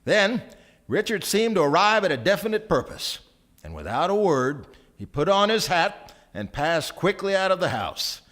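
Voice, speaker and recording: An actor as storyteller, narrating a live radio drama with measured, theatrical phrasing. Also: male voice, high-pitched, sing-song, a bit bright. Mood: storytelling. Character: storyteller narrating a wry small-town tale. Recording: live stage recording before audience.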